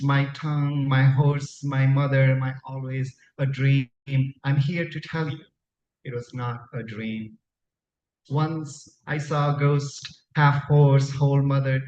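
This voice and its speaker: An unhurried male poet from Nepal, a native Tamang speaker, reads to a room in a measured, deliberate way. He leaves frequent pauses between phrases. The sound is roomy and a little muffled, with faint noise behind him. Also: mid-range voice, a little mellow. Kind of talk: live poetry reading with spoken introductions. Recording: dull, echoey room recording, faint noise.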